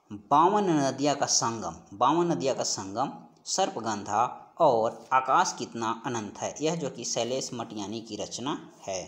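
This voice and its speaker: A man's voice: medium pitch, a bit bright. A man giving an instructional lesson as an exam-prep teacher, working through questions and repeating key points and answers. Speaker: teacher of Hindi for exam preparation.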